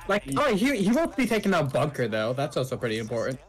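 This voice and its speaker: A man chatting casually while playing a co-op video game, organizing his friends with halting restarts. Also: a little high, huge pitch swings. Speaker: gamer leading a co-op session. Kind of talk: casual gaming chat.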